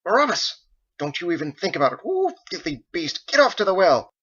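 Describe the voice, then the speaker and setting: A man's voice: high-pitched, very animated, a little fast, a bit bright, dull audio, voice pushed up, exaggerated voice. A man voicing a fussy, scolding woman of a medieval kitchen in an audiobook, bossy and exclamatory.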